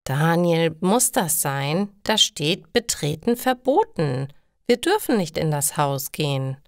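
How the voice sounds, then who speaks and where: Woman doing a wary schoolboy who scares easy, storybook reading, slow, no room echo. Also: sits low, very animated, clean studio sound, exaggerated voice. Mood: annoyed, reluctant. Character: cautious, easily scared schoolboy Georg, reluctant. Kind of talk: acted dialogue in a learner's storybook.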